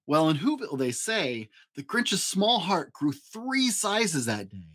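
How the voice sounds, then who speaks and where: A man in his forties giving an expressive, rhyming storybook narration for children. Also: fairly high pitch, a little slow. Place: small home room, indoors.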